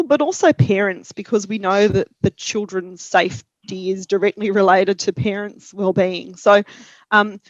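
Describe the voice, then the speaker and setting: Woman, Australian accent, calm and reflective, dry dull-sounding audio. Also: medium pitch, no echo. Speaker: parent helpline counsellor. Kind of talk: webinar discussion.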